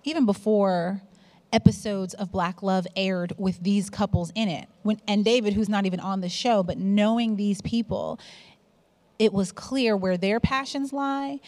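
Woman executive on a panel, reflective, mid-pitched voice. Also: darkish tone. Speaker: company CEO and podcast host. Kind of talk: panel discussion.

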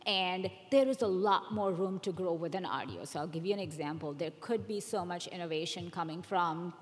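A woman's voice: fairly low pitch, moderate pace. A woman on a conference panel giving her opinion in an emphatic, conversational way. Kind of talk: panel discussion, personal opinion with examples. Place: conference hall, live panel. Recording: echoey hall with long reverb.

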